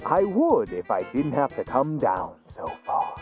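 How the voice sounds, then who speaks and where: A man's voice: medium pitch, huge pitch swings, measured pace, darkish tone, muffled recording, soft background music. A man playing a prickly, disdainful skinny magician, scolding and exasperated, in an audio drama. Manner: scolding, indignant.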